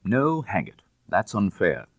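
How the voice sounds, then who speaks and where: A man voicing an anxious, earnest young gentleman accomplice in an audiobook, with agitated, formal, old-fashioned phrasing. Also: fairly low pitch, huge pitch swings, unhurried, creaky, no echo, exaggerated voice. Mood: reluctant, uneasy. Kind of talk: dramatised audiobook reading of classic fiction.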